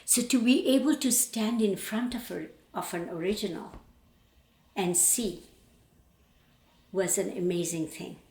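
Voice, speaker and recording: An older woman from Bangladesh with a Bangladeshi accent, reflecting on her life as a painter in a thoughtful, halting way with pauses. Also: mid-range voice, a little mellow. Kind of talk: reflective personal interview. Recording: roomy, echoey indoor sound.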